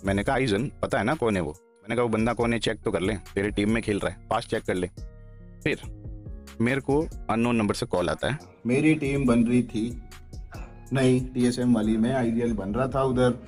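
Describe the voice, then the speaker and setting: A man's voice: mid-range voice, moderate pace, a little mellow, faint music behind. A man in his thirties, an esports player, talking bluntly and heatedly into a headset mic from a small room, repeating himself to press his points. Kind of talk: livestream talk and call recording. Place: small room, sitting in a gaming chair.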